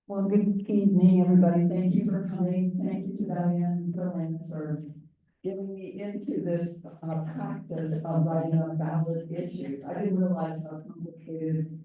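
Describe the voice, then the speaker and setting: An older woman with a loud voice giving a chatty, informal briefing to a meeting, wandering into self-deprecating asides. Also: fairly low pitch, level tone, moderate pace, narrow, tinny audio. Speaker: volunteer presenter on ballot issues. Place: large meeting room. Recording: very echoey room, voice from far off.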